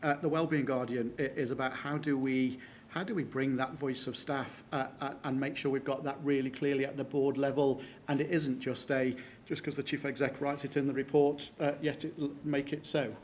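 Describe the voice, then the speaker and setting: A man presenting a report at a formal board meeting, halting and full of filler sounds. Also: mid-range voice, level tone, a little hiss or hum. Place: meeting room.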